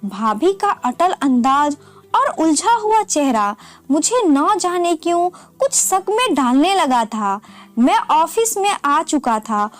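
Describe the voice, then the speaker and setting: High voiced lady telling a dramatic family story, really lively pitch, soft music under it. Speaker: story narrator. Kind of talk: scripted first-person narration of an emotional family story.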